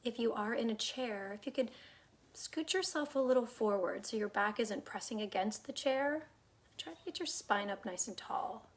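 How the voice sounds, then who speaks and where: A woman leading a guided meditation in a calm, unhurried, soothing way, her soft phrases trailing off into breathiness. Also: fairly high pitch. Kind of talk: guided meditation, gentle instruction.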